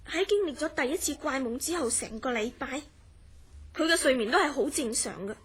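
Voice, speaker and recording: An actress with a Hong Kong Cantonese accent playing an earnest woman who recounts a friend's eerie dreams in a radio drama, in measured, serious storytelling. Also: sits high, animated. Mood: grave recounting.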